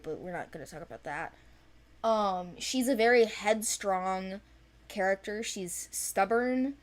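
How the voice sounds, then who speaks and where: A woman speaking casually and off the cuff, explaining things in an informal, chatty way as a creator presenting a project. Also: fairly low pitch. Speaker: animated series creator presenting characters for auditions. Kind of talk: casual unscripted project discussion.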